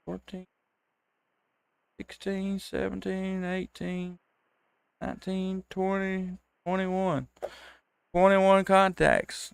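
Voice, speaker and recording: A man from talks slowly in a smooth voice with some fry in it. He is a folksy, friendly ham radio operator, chatting casually and calling stations on a live stream. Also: a little high, fairly bright tone, no echo. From United States.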